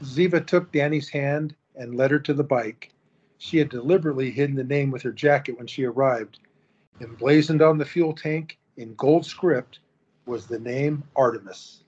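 A man narrating an audio drama in a steady storytelling manner. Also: mid-range voice, normal speed, husky. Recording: dull lo-fi recording.

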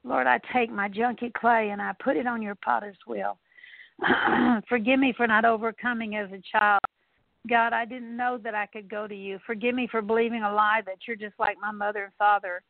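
Woman praying, earnest, says sorry over and over, flat tone, dry thin phone sound. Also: a little high, moderate pace, creaky, dry recording. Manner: earnest, repetitive litany of confessions. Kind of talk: spoken prayer of repentance. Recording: tinny phone-like line, dry.

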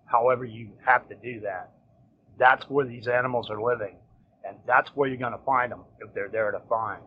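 A male host talking in a measured, matter-of-fact way in a casual interview. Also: medium pitch, fairly even intonation. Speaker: podcast host. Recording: dull lo-fi audio with faint noise.

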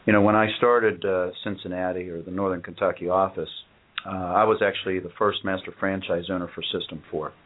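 A man who runs a company, answering interview questions in a measured, matter-of-fact way with frequent pauses on "uh". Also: low-pitched, fairly even intonation. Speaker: company owner and guest. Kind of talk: business interview. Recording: tinny phone-like audio.

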